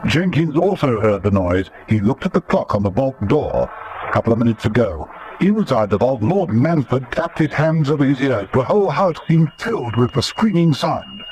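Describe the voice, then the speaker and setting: A man's voice: mid-range voice, big pitch swings, moderate pace, darkish tone, slight background noise, low-quality recording. A man narrating a radio adventure drama in a dramatic, scripted storytelling style.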